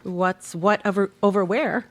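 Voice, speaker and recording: A woman's voice: medium pitch, fairly even intonation, unhurried, natural voice. A woman playing a mild, evasive mid-century housewife in a live radio play, sounding innocent and vague. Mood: placating, evasive.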